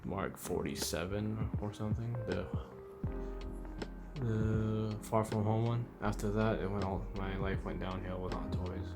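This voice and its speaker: Low-pitched man chatting offhand over music. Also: fairly even intonation, a little slow. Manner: informal, offhand, thinking aloud with mild swearing. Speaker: host of a toy figure review channel. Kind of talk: casual, rambling review chat. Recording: music under the voice. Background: background music.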